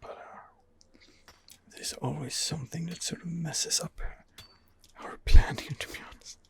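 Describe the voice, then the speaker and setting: A man's voice: on the high side, fairly even intonation, unhurried. Whispering man doing ASMR game commentary. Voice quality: whispered, breathy. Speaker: gamer narrating his role-play playthrough.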